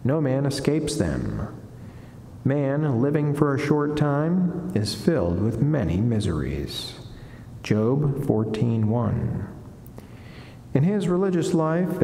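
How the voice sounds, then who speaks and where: Middle-aged man, friar at a lectern, formal devotional reading, expressive varied intonation, soft dark tone, reverberant chapel. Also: mid-range voice, slight background noise. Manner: measured, deliberate, formal. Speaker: friar reading a saint's life and reflection. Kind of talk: solemn devotional reading from a book. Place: wood-panelled chapel, indoors. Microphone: lectern gooseneck microphone. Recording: echoey chapel with long reverb.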